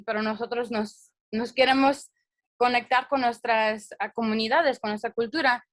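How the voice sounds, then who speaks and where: A young woman gives a community presentation in a fairly high voice. She speaks earnestly but haltingly, pausing on fillers and restarting her phrases. The sound is close and dry, with no room echo. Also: a bit bright.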